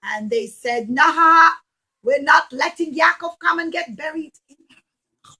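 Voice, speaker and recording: A woman teaching young children a religious story in an expressive way, acting out the dialogue and putting questions to her listeners. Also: sits high, slow pace. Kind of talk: storytelling puppet show lesson for young children.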